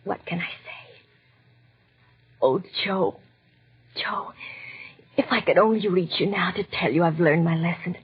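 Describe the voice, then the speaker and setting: An actress playing an anguished, forgiving young wife and mother in 1940s radio drama, husky and breathy. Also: lowish voice, unhurried, long pauses. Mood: tearful, yearning. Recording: muffled 1940s radio recording.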